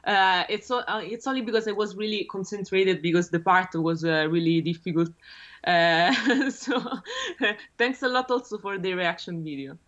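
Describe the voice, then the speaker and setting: A woman's voice: medium pitch, normal speed, fairly bright tone. A young woman from Rome with an Italian accent, a guest on a video-call interview, speaking hesitantly with many fillers and frequent laughs. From Rome, Italy. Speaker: guest bassist. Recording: lo-fi dull call audio.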